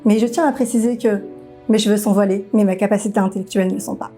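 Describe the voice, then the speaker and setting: A woman's voice: medium pitch, steady tone, fast talker, warm tone, faint music behind. A woman delivering a firm, scripted monologue for a campaign film.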